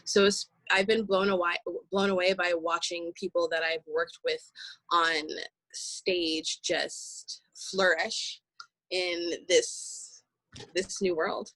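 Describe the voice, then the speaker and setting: A woman, a stage actor, talking casually in an interview, breaking her phrases with pauses. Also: on the low side, level tone, fairly bright tone, no room sound, low-quality recording.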